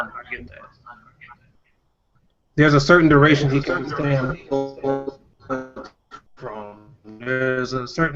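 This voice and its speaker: A man in a casual fan discussion, giving opinions in slangy talk and repeating himself for emphasis. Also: mid-range voice. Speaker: panelist in a film discussion. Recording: muffled recording amid background chatter.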